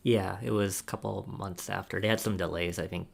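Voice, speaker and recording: A man's voice: low voice, fairly even intonation, brisk, vocal fry. A man on a podcast panel, casually recounting his own experience in conversation. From United States. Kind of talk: casual personal storytelling in a discussion.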